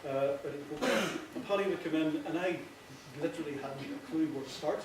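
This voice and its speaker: A man with a Northern Irish accent giving an informal, earnest speech, often halting and repeating himself. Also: a little high. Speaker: playwright giving a thank-you speech. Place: echoey indoor hall before an audience. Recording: very echoey, distant, noisy with clicks.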